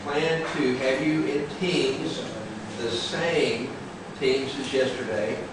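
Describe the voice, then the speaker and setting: Slow, halting lecturer in an echoey hall. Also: male voice, mid-range voice, fairly even intonation. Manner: halting, with many pauses and fillers. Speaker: visiting lecturer. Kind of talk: classroom lecture to students. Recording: echoey hall, voice far from mic, loud background hiss.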